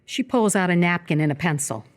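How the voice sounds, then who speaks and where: A woman's voice: on the low side, a little fast. A woman reading scripted stage directions as the narrator of a live radio theatre piece. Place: live stage performance before an audience.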